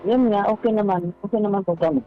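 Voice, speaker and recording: A middle-aged man calling a radio show, telling his story casually in a halting, deferential way, repeating his phrases. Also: sits high. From Philippines, Visayas or Mindanao. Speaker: caller to a radio advice show. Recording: dull lo-fi phone call, faint music.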